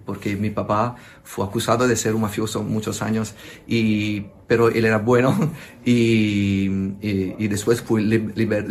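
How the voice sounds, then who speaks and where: A man, an Italian priest with an Italian accent, speaking Spanish as a second language and telling his testimony in a hesitant way, often searching for words. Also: on the low side. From Italy. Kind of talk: radio interview testimony.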